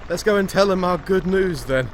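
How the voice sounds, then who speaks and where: An actor playing an anxious, haunted young gentleman in a gothic audio drama, earnest and formal in his delivery. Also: sits high, moderate pace, slight background noise, close to an everyday voice. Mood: determined. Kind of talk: gothic audio drama acting and first-person narration.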